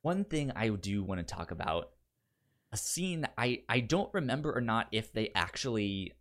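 Halting man with lively, swinging intonation. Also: fairly low pitch. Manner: halting, repeats first sounds of words. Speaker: podcast co-host. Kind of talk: casual film discussion.

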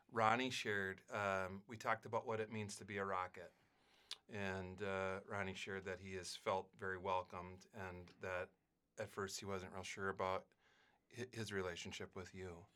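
Middle-aged male principal-host, bright timbre, encouraging, casual. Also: sits low, little pitch movement, moderate pace, clear, resonant tone. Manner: upbeat, encouraging, reflective. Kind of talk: casual school podcast chat.

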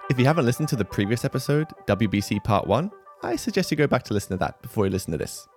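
Lively male podcast host. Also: medium pitch, rapid-fire, faint music behind. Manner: lively, laughs often, reads sponsor spots. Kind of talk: conversational podcast interview.

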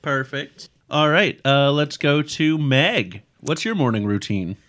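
A man acting as game master, narrating scenes and questioning players in a relaxed, wry way. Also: a little high, takes it slowly.

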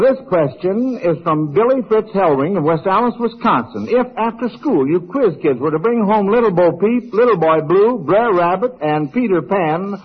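A man's voice: high voice, slightly rough. A man hosting an old-time radio quiz show in a jovial, folksy, chuckling manner. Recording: dull vintage radio broadcast.

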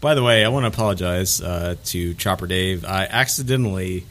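A man's voice: sits low, fairly quick, a bit bright. A man co-hosting a comedy podcast, bantering casually in a mock-earnest, repetitive riffing way. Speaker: podcast co-host.

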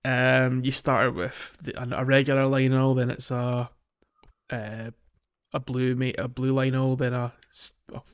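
A man's voice: mid-range voice, completely flat delivery, measured pace, smooth. Man chatting casually, slightly dull audio. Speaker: podcast co-host. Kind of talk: casual chat about a video game.

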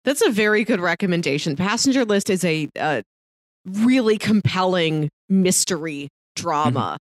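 A woman co-hosts a review podcast with animated, varied intonation. Her phrasing is articulate and thoughtful, and the recording is close and dry. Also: lowish voice, moderate pace, no echo. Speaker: podcast co-host. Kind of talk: casual review podcast chat.

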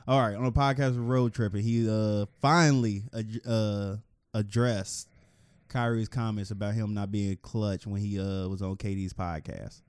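A man co-hosting a casual sports podcast, rambling and joking, getting loud and lively when he argues. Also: on the low side, a little slow, clear, resonant tone, dry recording, clean studio sound. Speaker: podcast co-host.

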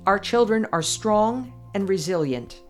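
An older American woman reading her own essay aloud as a podcast commentator, earnest and measured, pressing hard on her key points. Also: mid-range voice, expressive, faint music behind.

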